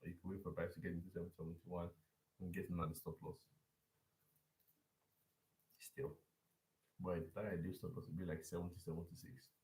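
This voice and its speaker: A man's voice: sits low, level tone, a little fast, long pauses, a little mellow. A man live-streaming a forex chart breakdown and talking loosely to his chat, with restarts and repeats. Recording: echoey room.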